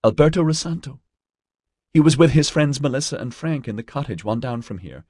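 A man's voice: medium pitch, animated, fairly quick, darkish tone, no room sound, studio quality, pitched above the performer's own voice, natural voice. A man voicing a distraught, naive twenty-year-old woman student in an audiobook, pleading and woebegone. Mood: wistful, hurt.

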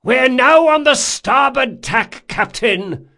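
A man voicing a wryly insolent, hard-drinking sailing master in an audiobook, calling back loudly. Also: very high-pitched, expressive, takes it slowly, gravelly, pitched above the performer's own voice, heavily acted. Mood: wry, shouting. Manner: loud, wry shouted replies. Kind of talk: audiobook character acting.

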